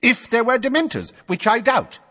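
A man voicing a blustering, pompous middle-aged official who shouts and sputters, reading with long hall reverb. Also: big pitch swings, moderate pace, husky, heavily acted. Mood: scornful. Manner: shouting, sputtering, high and strained. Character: blustering, pompous middle-aged male minister, easily enraged.

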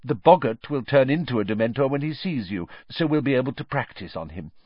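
A thoughtful, gentle schoolmaster of magic, acted by a man for a storybook, explains in measured phrases with lifted, varied pitch. The sound is close, dry and a little dull. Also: expressive, slightly rough, dry recording. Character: gentle, thoughtful adult male teacher of magic. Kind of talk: audiobook character acting.

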